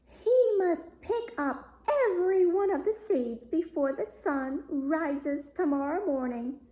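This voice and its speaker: Proud young storybook princess acted by a woman, cold, commanding, raised pitch. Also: lively intonation, slow, warm tone, reverberant, poor audio. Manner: haughty, commanding.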